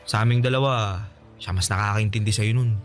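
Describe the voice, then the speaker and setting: A man's voice: fairly low pitch, steady tone, brisk, close to an everyday voice. A man acting a kind, easygoing young man in a radio drama, reassuring his friend and laughing often, over background music. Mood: warm, reassuring.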